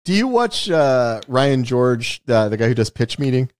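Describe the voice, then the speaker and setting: A man's voice: mid-range voice, very animated, normal speed, dry recording. A man co-hosting a casual film discussion, talking in an opinionated, emphatic way. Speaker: podcast co-host.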